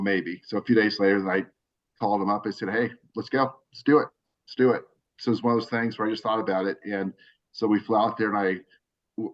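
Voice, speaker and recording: A man with a lowish voice tells his story in a loose, rambling way, full of restarts and fillers. He is on a video call, and the sound is dull and lo-fi. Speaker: cigar brand owner. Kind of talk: casual video call interview.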